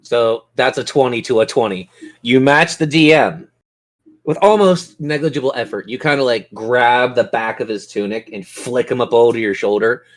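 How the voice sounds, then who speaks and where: A man's voice: mid-range voice, normal speed. A man narrating a tabletop role-playing game as game master, with theatrical, descriptive storytelling.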